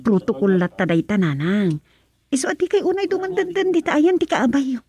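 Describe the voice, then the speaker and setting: High-pitched grumbling daughter, acted by a woman. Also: lively intonation, unhurried, dark tone. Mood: suspicious, complaining. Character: reluctant, grumbling young daughter of the family. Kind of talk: Ilocano radio comedy drama acting.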